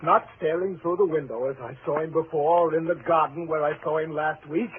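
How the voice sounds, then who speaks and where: An actor playing a weak, guilt-ridden lover and accomplice in a 1940s radio thriller, speaking in an anxious, hesitant, pleading way. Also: male voice, on the high side, steady tone, fairly quick, narrow, tinny audio, close to an everyday voice. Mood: uneasy. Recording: noisy, thin 1940s radio recording.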